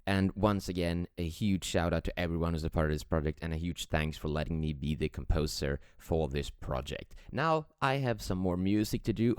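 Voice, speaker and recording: Deep, smooth-voiced man, very dry sound. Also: a little mellow, no echo. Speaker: music composer. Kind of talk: casual prepared self-introduction and thanks.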